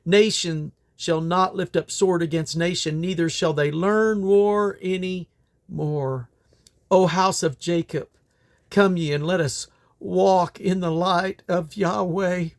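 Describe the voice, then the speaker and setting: A man's voice: high-pitched, fairly even intonation, slow pace. A man reading Bible scripture aloud in old King James English, in a solemn, declamatory manner. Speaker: Bible reader.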